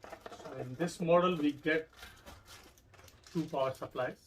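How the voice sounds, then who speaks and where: A man's voice: on the high side, a little slow, slight background noise. A man hosting a tech review, explaining an unboxing step by step in simple, repetitive phrases. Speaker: tech review channel host.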